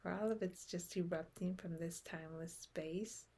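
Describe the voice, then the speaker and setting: Female meditation guide: lowish pitch, gravelly fry, soothing and gentle. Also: steady tone, normal speed. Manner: gentle, soothing, with soft breathy phrase endings.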